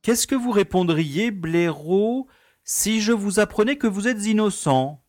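Fussy, animated prison director played by an actor. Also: male voice, high-pitched, measured pace, no room sound, clean studio sound. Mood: excited. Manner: theatrical, formal, courteously condescending. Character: fussy, affable male prison director, placidly bureaucratic. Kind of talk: French audio drama acting.

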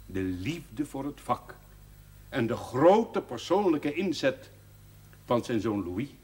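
Slow, oratorical brewery director. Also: male voice, medium pitch, sing-song, darkish tone, husky, exaggerated voice. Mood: proud, solemn. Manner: solemn, oratorical, deliberate, with emphatic swells. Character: proud older male brewery director giving a formal speech. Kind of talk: formal jubilee speech in a period television drama.

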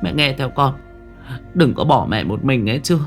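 A man's voice: mid-range voice, lively intonation, normal speed, soft background music, voice pushed up, lightly acted. A man voicing a devoted, grieving mother in a dramatic story, emotional and pleading with repeated words. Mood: tearful, devoted. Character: anguished, devoted mother grieving for dying daughter.

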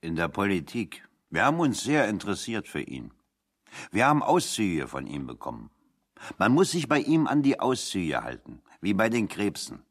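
An actor playing a plain-spoken, unschooled working-class political exile in a radio play, with a folksy, drily humorous manner. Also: male voice, on the low side, huge pitch swings, normal speed, full character voice. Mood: folksy, wry.

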